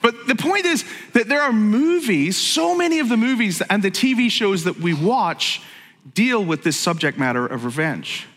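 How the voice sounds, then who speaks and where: Northern Irish pastor, bright, in a hall. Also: male voice, high-pitched, sing-song, normal speed, a little hiss or hum. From Northern Ireland. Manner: jokey, conversational, self-interrupting. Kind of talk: sermon.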